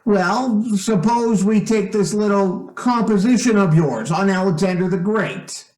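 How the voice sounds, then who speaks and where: An actor playing a shrewd, outwardly genial stepfather who probes with quiet menace in an old-time radio suspense drama. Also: sits high, roomy sound, poor audio. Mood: slyly suspicious. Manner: deliberate, probing, hesitant phrasing.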